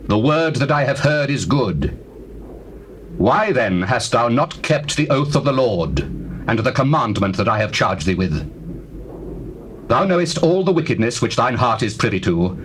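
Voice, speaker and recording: A man playing King Solomon, a regal, devout ruler, with measured, formal declamation in a dramatized scripture reading on a noisy old cassette. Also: mid-range voice, lightly acted. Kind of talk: dramatized scripture reading, classical stage acting.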